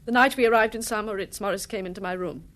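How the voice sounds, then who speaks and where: A woman's voice: medium pitch, quick pace, lightly acted. An actress playing a troubled married woman in a radio drama, earnest and hesitant as she confides. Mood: distressed.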